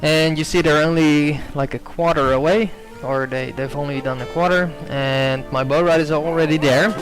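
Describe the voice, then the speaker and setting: A man's voice: a little high, steady tone, normal speed, soft background music, rough recording. A young Dutch-native man giving hesitant gameplay commentary, full of ums and self-corrections.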